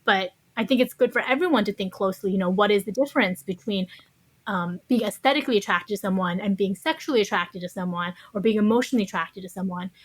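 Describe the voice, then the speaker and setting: Woman, podcast guest. Reflective, explanatory, conversational, mid-pitched, very dry recording. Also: fast, slightly rough, no room sound. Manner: reflective, explanatory, often says "you know". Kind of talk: thoughtful interview conversation.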